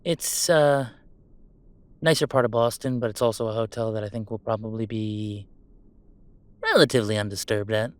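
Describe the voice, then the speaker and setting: A man's voice: fairly low pitch, moderate pace, pauses often, smooth, no echo, close to an everyday voice. A man playing a chatty, affectionate, well-off gamer in a roleplay audio drama, talking casually and reassuringly in a rambling, wry way. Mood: reassuring, explaining. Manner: casual, rambling, self-interrupting, wryly reassuring. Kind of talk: intimate roleplay audio acting, one-sided conversation.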